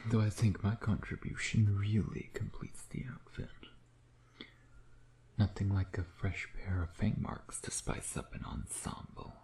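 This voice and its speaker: A man playing a smug, teasing vampire predator in an intimate ASMR roleplay, sardonic and flirtatious, often slipping into breathy murmurs. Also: on the low side, fairly even intonation, measured pace, mellow.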